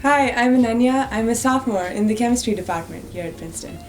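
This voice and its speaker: A young woman from India, a student guest in an interview, speaking frankly with quick asides. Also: fairly high pitch, expressive, faint music behind, poor audio. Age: young adult. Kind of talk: casual interview answers.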